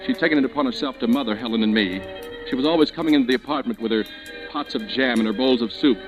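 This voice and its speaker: A nervous young man with something to hide, played by a male actor in a 1940s-style radio thriller. His voice is mid-pitched and uneasy, evasive and stammering, on an old broadcast recording. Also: quick pace, dull audio, soft background music, natural voice. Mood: tense narrating. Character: nervous young man hiding a terrible secret. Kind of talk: old-time radio suspense acting with first-person narration.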